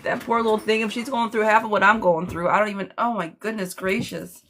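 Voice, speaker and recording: A woman with a hoarse, smoky voice, doing a chatty live tarot reading while sick with a cough. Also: medium pitch, fairly even intonation, brisk, fairly bright tone, a little hiss or hum. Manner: chatty, reassuring, calls listeners girlfriend. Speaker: tarot reader.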